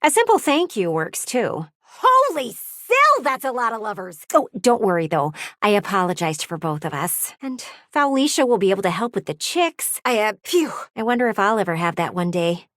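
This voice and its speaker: A woman's voice: mid-range voice, big pitch swings, moderate pace, hardly any pauses, a bit bright, no room sound, clean studio sound. A woman voicing a vain, blunt, flirty living window in a video game, with a theatrical, emphatic delivery. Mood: gossipy, self-satisfied, then wistful, candid. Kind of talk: video game character voice acting.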